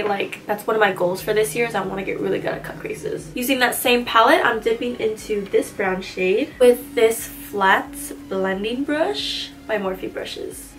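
A woman's voice: fairly high pitch, a bit bright, rough recording. A young woman in her twenties with a stuffy nose from a cold, chatting casually and slangily while walking through a makeup tutorial in a small room. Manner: chatty, slangy and self-teasing. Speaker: beauty vlogger.

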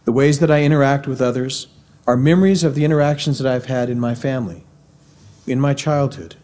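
Middle-aged missouri guy giving a formal campus talk, warm mellow voice, steady earnest pace, podium mic in a big auditorium. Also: mid-range voice. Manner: measured, earnest lecturing. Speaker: university speaker. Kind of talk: formal devotional address. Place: large university auditorium. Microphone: podium microphone.

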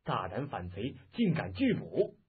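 A man's voice: mid-range voice, big pitch swings, fairly quick, slightly rough. A man doing a barking imperial officer. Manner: loud, barking orders. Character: blustering male imperial army officer. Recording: lo-fi phone-like audio.

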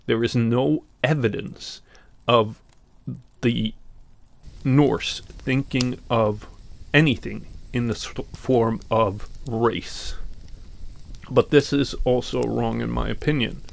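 Slow, gravelly-voiced Norwegian man. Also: mid-range voice, fairly even intonation. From Norway. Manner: slow and deliberate, with pauses and some fillers. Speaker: podcast host. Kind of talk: reflective commentary on mythology.

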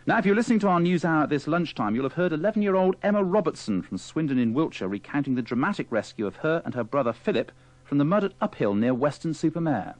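A man's voice: fairly high pitch, sing-song, quick pace, dry recording, rough recording. A British man presenting live radio news in a formal manner, apologetic and hesitant when he has to ad-lib. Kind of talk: live news presenting, ad-libbing through failed links.

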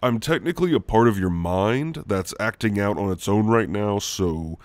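A man playing a gentle, teasing sleep paralysis shadow demon in an intimate ASMR roleplay, speaking in a soft, halting, reassuring way. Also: on the low side, expressive, no echo, natural voice. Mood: tender, earnest. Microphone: close, dry microphone.

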